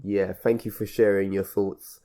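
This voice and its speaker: A man speaking reflectively as an interviewer, in measured, formal wording with hesitant pauses. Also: on the low side, level tone, heavy background noise.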